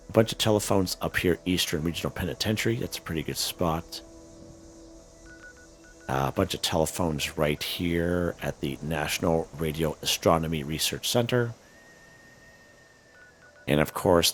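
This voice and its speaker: A man with a hoarse, worn voice, casually talking viewers through a game, full of fillers and "okay?" check-ins. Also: very deep voice. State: hoarse, losing his voice. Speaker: gaming channel host. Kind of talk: casual gameplay walkthrough commentary.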